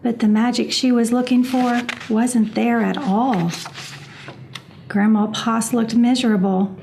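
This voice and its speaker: A woman reads a picture book aloud to children. Her voice sits a little high and she takes her time, telling the story expressively. There is faint noise behind her. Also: fairly even intonation, unhurried, vocal fry, dark tone. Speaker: reading specialist reading to children.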